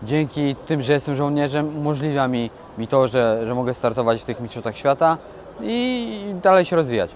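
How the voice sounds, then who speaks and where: A man's voice: mid-range voice, animated, normal speed. A young man, a soldier and boxer, answering interview questions in an earnest, proud way. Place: outdoors by a glass building. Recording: faint outdoor noise.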